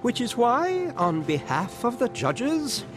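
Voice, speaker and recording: Pompous creature judge, slow formal delivery, hugely animated intonation, game cutscene, background music. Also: male voice, extremely high pitch, full character voice. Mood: approving, ceremonious. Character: pompous, irritable asura council judge, small goblin-like inventor male. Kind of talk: video game cutscene acting. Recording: music behind the voice.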